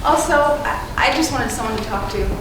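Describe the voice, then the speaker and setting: A woman in a school stage comedy playing a composed detective who speaks in a polite, measured way. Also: high-pitched, expressive. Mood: subdued. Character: composed female detective posing as divorced client. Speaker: actor in a school play. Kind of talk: live amateur stage comedy acting. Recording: echoey hall, distant mic, background noise.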